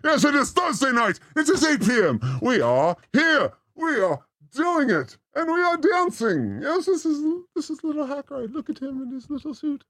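A man's voice: very high voice, sing-song, normal speed, few pauses, a bit bright, no room sound. A man hosting a livestream with a rambling, theatrical comic monologue, exclamatory and given to swearing. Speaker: host of a livestream show.

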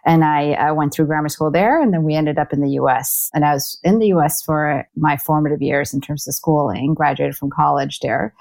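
A woman's voice: low-pitched, steady tone, fairly quick, creaky, few pauses, darkish tone. A woman in her forties from Taiwan, answering interview questions in a reflective way, with fillers and restarts. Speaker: guest, alumna.

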